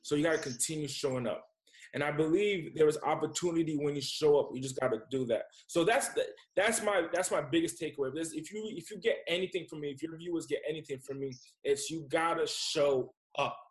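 A man's voice: a little high, brisk, echoey room, low-quality recording. A man in his twenties, a guest entrepreneur, talking in a casual, emphatic, motivational way. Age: 20s. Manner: emphatic, motivational, repeats himself. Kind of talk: casual interview chat.

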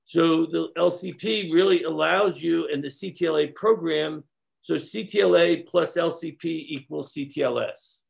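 Guy with a gravelly kinda high voice walking through his consulting biz, bit of restarting. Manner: measured, explains step by step with restarts. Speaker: leadership consultant guest. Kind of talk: explanatory interview talk.

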